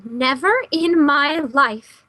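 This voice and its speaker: High-pitched, fluttery schoolteacher played by a woman. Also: slow pace, bright, forceful tone, no echo, full character voice. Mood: prim, nervous.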